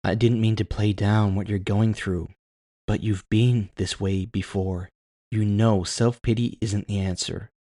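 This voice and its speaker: A man's voice: fairly low pitch, steady tone, unhurried, mellow, no echo, studio quality. A man voicing a fierce, blunt but caring tough woman who swears and challenges, in an audio story. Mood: firm, reassuring.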